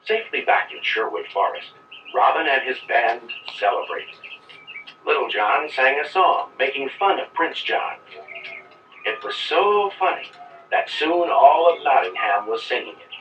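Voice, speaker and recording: A man's voice: mid-range voice, lively intonation, measured pace, far from the mic, soft background music, natural voice. A man reading scripted narration for a children's read-along storybook. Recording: roomy, dull playback of old read-along record.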